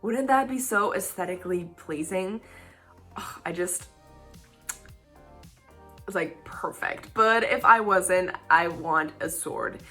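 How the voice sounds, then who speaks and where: A woman's voice: on the low side, slow, pauses often, sharp tone, faint music behind. A young woman from Spain, a native Spanish speaker, hosting a casual Q&A vlog in English, rambling and laughing often. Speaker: YouTube channel host.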